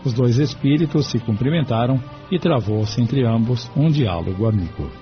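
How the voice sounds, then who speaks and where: A man's voice: medium pitch, lively intonation, normal speed. A man narrating an audio novela from a script. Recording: dull sound with background music. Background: music.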